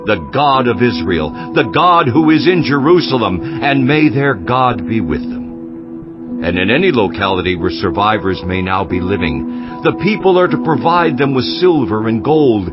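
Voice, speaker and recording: A man's voice: medium pitch, animated, normal speed, muffled recording, close to an everyday voice. An actor playing a solemn Persian king, declaiming a royal proclamation in a stately manner for a dramatized scripture reading. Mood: solemn, commanding. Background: music.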